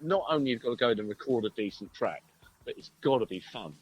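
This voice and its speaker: A man's voice: medium pitch, lively intonation, quick pace, a little hiss or hum, rough recording. A man, a musician being interviewed, talking casually in a hesitant, rambling way. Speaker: band musician, interview guest.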